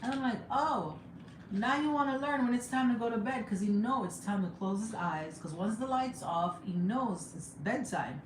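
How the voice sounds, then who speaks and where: A young woman with a Jamaican accent chatting casually on a home livestream, laughing often and sometimes breaking into excited high exclamations. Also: moderate pace. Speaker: livestreamer and mother. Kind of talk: casual live chat. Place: home room. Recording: echoey room with background noise.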